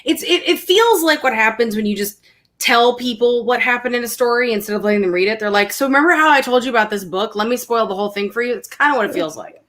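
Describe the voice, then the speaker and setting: A woman chatting casually, speaking in an opinionated, emphatic and animated way. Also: a little high, fast. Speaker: guest film commentator. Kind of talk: casual chat.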